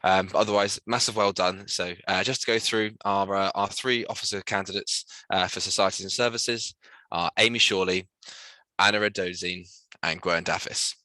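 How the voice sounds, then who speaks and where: Guy hosting a student election call, bright and cheery, kinda low, dry sound. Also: fairly even intonation, normal speed, no echo, low-quality recording. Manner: upbeat, praising, filler-heavy. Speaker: hustings host. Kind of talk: online panel moderating.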